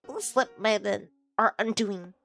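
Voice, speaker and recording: Woman, game streamer, casual read-aloud narration, mid-pitched, faint music behind. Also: brisk, creaky, fairly bright tone. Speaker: streamer reading a visual novel aloud.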